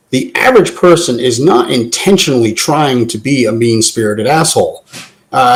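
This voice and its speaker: A man with a mid-range voice joins a live audio talk show. He speaks in a measured, thoughtful way, laying out his point patiently. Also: medium pitch, rough recording. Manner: measured, thoughtful, gently explaining. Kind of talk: casual, reflective talk.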